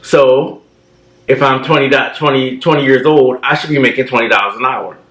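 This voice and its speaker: A man in his thirties telling stories in a relaxed, casual way, filling his talk with "you know". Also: medium pitch, normal speed, echoey room, poor audio. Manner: relaxed storytelling with many fillers. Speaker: co-host. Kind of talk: casual chat.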